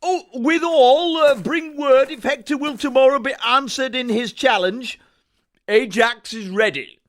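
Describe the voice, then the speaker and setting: A man playing a hesitant Greek general in a Shakespearean audio drama, stammering and clearing the throat as he talks. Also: very high voice, measured pace. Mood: halting, pompous.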